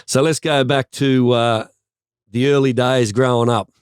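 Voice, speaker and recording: A man's voice: mid-range voice, steady tone, unhurried, clean tone, no room sound, clean studio sound. An Australian man hosting a podcast, joking around and answering with short agreeing replies. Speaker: podcast host. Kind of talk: casual podcast interview.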